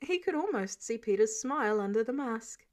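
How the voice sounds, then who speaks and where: Lively, high-voiced woman reading a story. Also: moderate pace. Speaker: audiobook reader of fan fiction. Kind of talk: expressive scripted narration.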